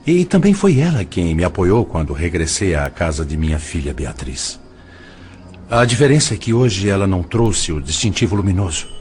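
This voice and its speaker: A man's voice: deep voice, a little mellow. A man playing an older, earnest father spirit in an audio drama, speaking formally and intently. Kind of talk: scripted audio drama dialogue.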